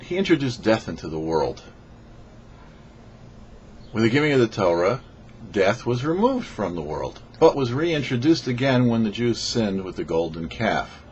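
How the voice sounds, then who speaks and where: A man reading a scripted religious teaching as a rabbi and show host, phrasing deliberately and emphatically with pauses. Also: fairly low pitch. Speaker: rabbi, host of a weekly Torah portion show. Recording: dull lo-fi audio with faint noise.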